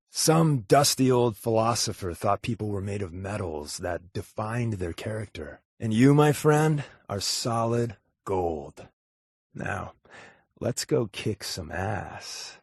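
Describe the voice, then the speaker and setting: A man's voice: on the low side, gravelly, slightly rough, no echo, studio quality, exaggerated voice. A man playing a wry, wisecracking undercover agent in a video game, with a casual, sardonic delivery. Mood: sincere. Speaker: voice actor playing a game companion. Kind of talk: video game character dialogue.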